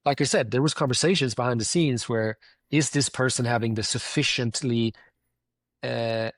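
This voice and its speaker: A Swedish-accented man speaking as a founder in a conversational interview, with a middle-pitched voice. He talks earnestly and keeps circling back to stress his points. The sound is dry and close, with no room echo. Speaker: company founder and guest.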